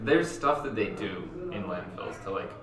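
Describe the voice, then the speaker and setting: A man's voice: low voice, expressive, normal speed. A man co-hosting a podcast and chatting casually with friends. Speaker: podcast co-host. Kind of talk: casual chat. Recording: echoey, distant mic, chatter behind.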